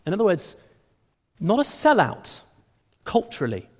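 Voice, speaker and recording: A man preaching a sermon in a conversational, animated way, often restarting his words. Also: high voice, normal speed, husky. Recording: long hall reverb.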